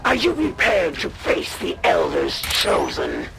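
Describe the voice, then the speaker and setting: Haughty, gravelly alien assassin. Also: male voice, low voice, huge pitch swings, measured pace, noisy background, heavily acted. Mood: grandiose, menacing. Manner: haughty, theatrical, taunting. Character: arrogant menacing male alien assassin serving the Elders. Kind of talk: dramatic video game acting. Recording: loud game music over the voice. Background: loud game music.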